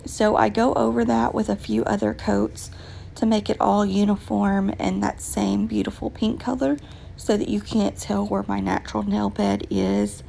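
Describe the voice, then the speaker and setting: A woman with a raspy voice from a recent illness, patiently explaining her nail work step by step with frequent ums. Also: medium pitch, mellow, a little hiss or hum. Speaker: nail tutorial vlogger. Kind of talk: casual step-by-step nail tutorial voice-over.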